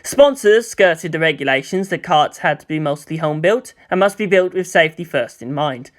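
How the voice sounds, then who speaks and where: A narrator reading a scripted story in an expressive way, with dramatic emphasis. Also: medium pitch, normal speed. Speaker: audiobook narrator. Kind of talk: scripted narration of fan fiction.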